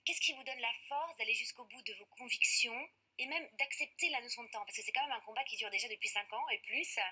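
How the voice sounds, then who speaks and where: A woman's voice: on the high side, quick pace, bright, forceful tone, husky. A woman hosting a TV studio interview, asking conversational, leading questions. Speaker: TV host.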